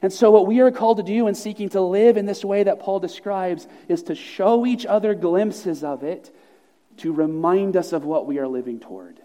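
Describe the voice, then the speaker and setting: A man preaching A pastor delivers an earnest, emphatic sermon, leaning on repeated phrases to drive each point home. His voice sits fairly high but sounds mellow and soft-edged. Long reverb from a large church hall trails after his words. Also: moderate pace. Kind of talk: sermon and closing prayer.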